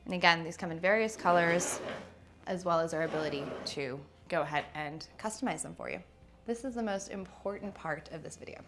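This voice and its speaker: A woman in her thirties with a fairly low voice and plenty of vocal fry. She presents a product review to camera, talking conversationally and leaning on key words for emphasis. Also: fairly quick, slight background noise. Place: indoor showroom with wood-slat wall.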